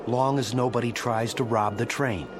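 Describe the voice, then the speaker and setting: An actor playing an earnest, by-the-book American federal agent in a radio drama, terse and dutiful. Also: male voice, mid-range voice, fairly even intonation, moderate pace, over music, close to an everyday voice. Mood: wary, dutiful.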